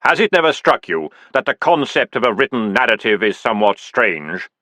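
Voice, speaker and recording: A man voicing Death as a calm, dry-witted skeletal figure who speaks slowly and deadpan. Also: mid-range voice, no room sound. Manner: slow, measured, deadpan.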